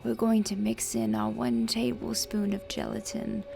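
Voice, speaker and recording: A woman voicing a genteel, macabre vampire countess who narrates a recipe in slow, deliberate, breathy ASMR style with creaky fry. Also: fairly high pitch, flat delivery. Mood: hushed, instructive. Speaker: host.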